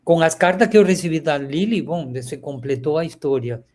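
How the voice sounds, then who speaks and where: A man in his sixties from Venezuela, speaking Portuguese with a Venezuelan Spanish accent, reflecting slowly as an interview guest over a video call with many pauses and the filler "este". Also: on the high side, expressive. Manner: warm, halting, frequent filler "este".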